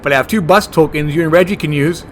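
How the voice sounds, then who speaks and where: A man plays a fussy, germ-phobic monster hunter in a comedy horror audio drama. His voice sits fairly high. He argues earnestly and stubbornly, over faint background noise. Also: fast talker, lightly acted. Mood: insistent.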